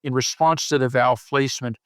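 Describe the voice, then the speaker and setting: Guy doctor talking through study results in an interview, careful halting delivery, middle pitch, very dry sound. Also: steady tone, fairly bright tone, clean tone, no echo. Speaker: physician researcher, interview guest. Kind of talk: explaining study findings in a medical interview.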